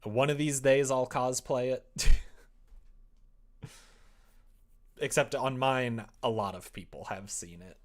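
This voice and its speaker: A man's voice: mid-range voice, steady tone, takes it slowly, long pauses. A young man chatting casually with stream viewers while he works at his computer, sometimes muttering to himself. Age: young adult. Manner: muttering to himself while typing, then livelier replies. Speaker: livestreamer writing a visual novel. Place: indoor room at a computer desk.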